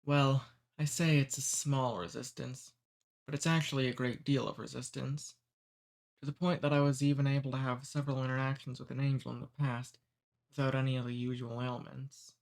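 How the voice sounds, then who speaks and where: A man voicing a cordial, amused male demon who takes humans away, speaking softly and unhurriedly in an ASMR roleplay. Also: medium pitch, level tone, fairly quick, gravelly, lightly acted.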